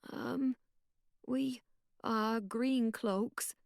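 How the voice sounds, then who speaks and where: A woman's voice: a little high, measured pace, dry recording, studio quality. A woman doing a hesitant, earnest young boy. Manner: hesitant, stammering with fillers.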